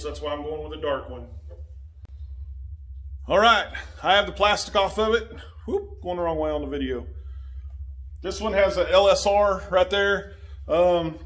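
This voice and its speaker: A man's voice: a little high, a bit bright. Plain-spoken man talking in his garage. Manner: plain-spoken, talks while working with his hands, drifts from the mic. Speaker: motorcycle vlogger. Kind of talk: casual how-to narration while working. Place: garage with a motorcycle, indoors. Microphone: phone camera.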